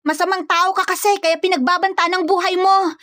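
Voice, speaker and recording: A woman voicing a feisty young woman from the provinces, shrill and scolding, in an audio romance story. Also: fairly even intonation, a little fast, a bit bright, no room sound, clean studio sound. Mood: defiant.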